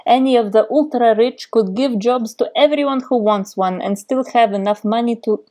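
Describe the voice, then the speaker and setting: A woman's voice: fairly high pitch, normal speed, warm tone, lightly acted. A woman voicing her character, a tough Russian-born female FBI unit leader in her forties, reading out a note in a put-on Russian accent. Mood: declaiming. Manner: stiff, declaiming reading of a note.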